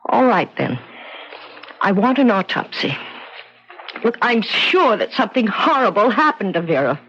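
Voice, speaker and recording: A woman's voice: mid-range voice, very animated, measured pace, a bit bright, breathy edge, exaggerated voice. An actress playing a distraught, stubborn young American schoolteacher grieving her sister in a 1970s radio drama, earnest and insistent. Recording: muffled 1970s radio broadcast recording.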